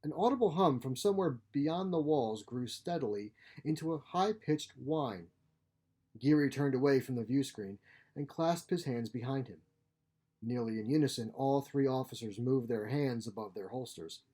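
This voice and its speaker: A man's voice: medium pitch, normal speed, warm tone, slightly rough. A man narrating a scripted short story as its author and reader. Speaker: author reading his own short story.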